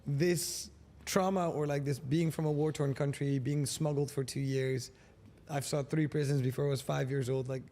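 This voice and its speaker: A man's voice: medium pitch, steady tone, moderate pace, mellow. A man in his twenties from Afghanistan, raised in the Netherlands, talking earnestly and reflectively as a podcast guest, often filling his sentences with "like". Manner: earnest and reflective, with many "like" fillers. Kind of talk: candid podcast conversation. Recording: long reverb.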